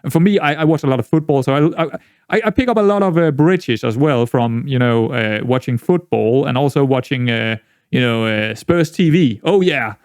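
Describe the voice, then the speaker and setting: A young man with a Danish accent, a native Danish speaker, chatting casually through a football management game, rambling with many restarts and jumping into excited outbursts. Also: medium pitch, moderate pace, clear, resonant tone, no room sound, studio quality. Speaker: gaming YouTuber.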